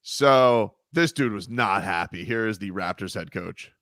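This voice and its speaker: A man's voice: fairly low pitch, expressive, a little slow, noisy background. A man hosting sports talk radio, rambling casually with lots of fillers and laughs. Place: radio studio. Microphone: studio mic.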